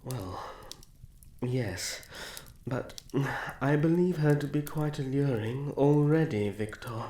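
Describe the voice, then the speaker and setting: A woman's voice: extremely low pitch, expressive, warm tone, heavily acted. A woman voicing a young male elf prince, earnest, proud and protective, with formal, courtly speech in a fantasy audio roleplay. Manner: formal, hesitant pauses, courtly. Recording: roomy sound with faint background noise.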